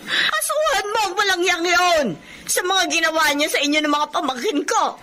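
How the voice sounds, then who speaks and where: An actress playing a blunt, exasperated older sister who nags and scolds in a Filipino radio drama, with a Filipino accent. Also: female voice, very high voice, expressive, normal speed, sharp tone, full character voice. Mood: exasperated scolding. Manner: blunt, nagging, indignant.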